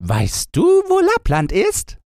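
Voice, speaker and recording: Storybook part: a fierce wild robber girl, bossy and teasing, high and squeaky, as a male reader puts her on. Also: huge pitch swings, slow pace, a little mellow, no echo, studio quality, full character voice. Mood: commanding.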